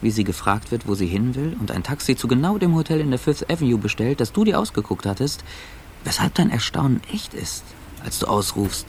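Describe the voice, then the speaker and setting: A man's voice: medium pitch, slight background noise, natural voice. An actor playing a weary, self-observing seducer who narrates his own story in second person in a radio drama.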